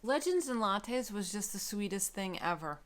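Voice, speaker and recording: A woman book vlogger chatting casually to camera in an expressive, emphatic way. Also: medium pitch, normal speed.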